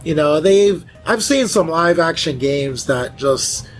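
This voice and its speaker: A man with a notably high voice talks casually as a fan on a panel. He is animated and often repeats a phrase to stress it. Faint music plays behind him. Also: slow. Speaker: panelist, video game fan. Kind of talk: casual chat.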